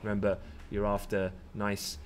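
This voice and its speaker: A man's voice: lowish voice, completely flat delivery, moderate pace. A British man in his thirties presenting a live market briefing, giving advice in a conversational, explanatory way. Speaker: head of market analysis, presenter. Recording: background noise.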